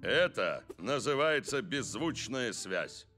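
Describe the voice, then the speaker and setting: A man's voice: mid-range voice, very animated, slow, sharp tone, full character voice. A man voicing a firm, encouraging football coach in a dubbed cartoon, commanding and instructive. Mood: instructing. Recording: music behind the voice.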